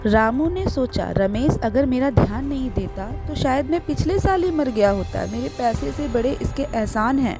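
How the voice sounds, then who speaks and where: A woman's voice: high-pitched, lively intonation, moderate pace, hardly any pauses. A woman reading scripted narration for a children's moral story. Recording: music behind the voice.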